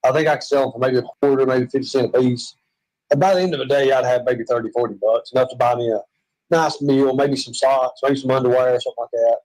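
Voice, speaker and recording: A man's voice: medium pitch, fairly even intonation, quick pace, a little mellow, rough recording. A man with a Southern US accent, chatting and joking in a rambling, self-mocking way from a hospital room.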